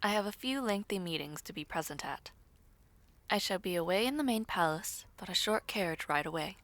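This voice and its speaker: Lady doing a devoted lord, voice dropped low, formal n tender, dry close audio roleplay. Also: lively intonation, a little fast, a bit bright, dry recording. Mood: tender, composed. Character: devoted noble lord reading out his tender love letter. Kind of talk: scripted audio roleplay acting.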